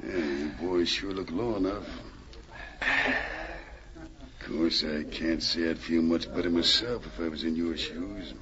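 An actor playing a chatty, nosy frontier barkeeper with a folksy, hesitant manner in an old-time radio drama. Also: male voice, sits low, slow pace, long pauses. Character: chatty male frontier saloon keeper, nosy, quick to backpedal. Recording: muffled old radio, crowd chatter behind.

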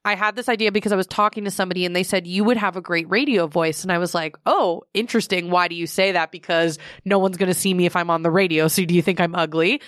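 A young woman co-hosting a podcast in a studio, chatting casually and getting animated, her pitch jumping up when she gets worked up. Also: quick pace, few pauses, dry recording. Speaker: podcast co-host. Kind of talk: casual chat.

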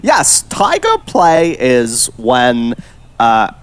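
A man's voice: medium pitch, wildly swooping pitch, clear, resonant tone, exaggerated voice. A man putting on a daffy time traveler with a German accent. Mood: whimsical. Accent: put-on German. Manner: halting, drawn-out, whimsical. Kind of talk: improvised comedy podcast.

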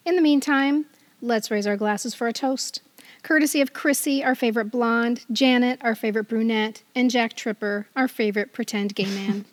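A middle-aged woman hosting a casual podcast in a playful, animated and jokey manner. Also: fairly high pitch, normal speed, no echo. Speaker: podcast co-host and quizmaster.

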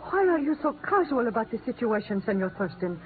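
A woman's voice: on the high side, very animated, fairly quick. An actress playing an earnest, defensive South woman in a 1950s radio drama. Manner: formal, earnest. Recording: noisy, muffled 1950s radio recording.

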